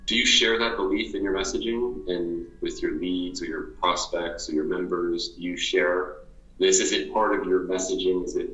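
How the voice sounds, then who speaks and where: A man's voice: low-pitched, fairly even intonation, normal speed, fairly bright tone, roomy sound, highs cut off. A man interviewing a guest in an earnest, motivational way, asking questions over and over in slightly different words.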